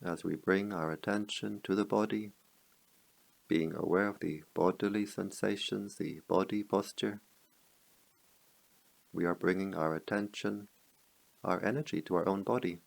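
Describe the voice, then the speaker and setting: A man guiding a meditation as a teacher, speaking calmly in measured short phrases with long pauses. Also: low voice, creaky, rough recording. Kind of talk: guided meditation.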